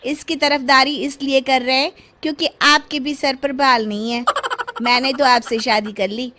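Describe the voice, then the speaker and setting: A woman's voice: high voice, fairly even intonation, normal speed. A woman playing a protective middle-aged mother in a cartoon story, insistent and outspoken. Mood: indignant. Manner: insistent, sharp-tongued.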